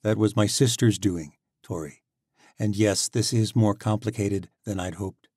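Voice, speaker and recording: A man voicing a calm, earnest young shaman. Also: lowish voice, dry recording, clean studio sound. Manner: steady, measured, earnest.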